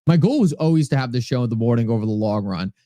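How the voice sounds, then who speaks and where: A man's voice: medium pitch, animated, fast, dark tone, dry recording. A man with a New England accent hosting a live stream, chatting casually, reading viewer comments aloud and thanking people by name. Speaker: livestream host. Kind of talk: casual livestream talk answering chat.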